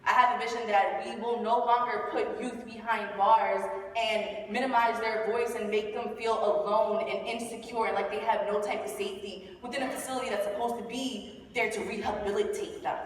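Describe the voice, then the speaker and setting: A woman's voice: a little high, steady tone, moderate pace, few pauses, a little hiss or hum. A woman youth advocate on a conference panel, making an impassioned case with repeated "I have a vision" statements. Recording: echoey hall, mic heard from far off.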